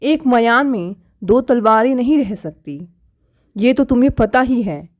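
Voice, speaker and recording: A woman narrator plays a proud modern wife in a family audio story. Her voice is fairly high, indignant and challenging, full of pointed rhetorical questions. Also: expressive, unhurried. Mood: indignant, hurt.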